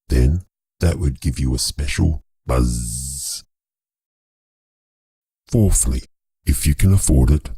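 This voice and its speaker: A man with a gravelly voice giving a scripted appeal to viewers as a storyteller, in a formal, wordy, drily playful manner. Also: very deep voice, warm tone, no room sound. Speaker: storyteller and creator addressing viewers.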